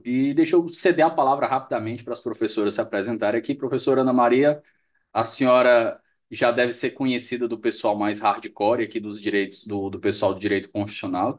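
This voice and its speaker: A man hosting a podcast, introducing his guests in a courteous, formal way. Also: mid-range voice. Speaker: podcast host. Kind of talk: podcast interview moderation.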